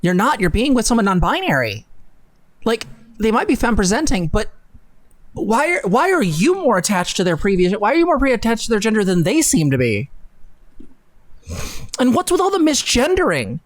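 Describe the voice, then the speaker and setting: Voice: mid-range voice, very animated, normal speed, long pauses. A young adult livestream co-host speaking bluntly and emphatically into a close desk mic, sarcastic and casually sweary. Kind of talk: dramatic reading and opinionated reaction commentary. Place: small home room, indoors. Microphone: close desk microphone on boom arm.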